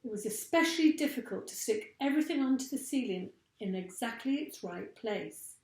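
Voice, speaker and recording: A woman teacher reading a children's story aloud with expressive narration. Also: fairly high pitch, moderate pace. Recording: echoey room.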